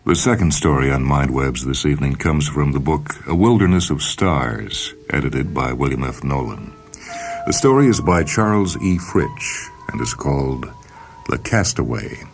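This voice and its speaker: Very deep-voiced man narrating fiction. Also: expressive, moderate pace, gravelly. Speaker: host and story reader. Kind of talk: dramatic scripted narration of speculative fiction. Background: music and sound cues.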